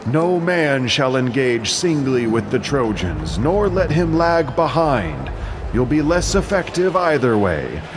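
A man playing a proud, wise old veteran horseman in an epic audio drama, speaking in a measured, declamatory way. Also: medium pitch, big pitch swings, noisy background, pitched above the performer's own voice. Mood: stern, instructing.

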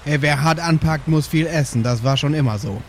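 A man's voice: a little high, a little fast, a little hiss or hum. A man improvising as a hearty, jokey old sailor in a tabletop game. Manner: hearty, jokey, boasts about food. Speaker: player voicing an old sailor. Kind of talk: improvised in-character roleplay.

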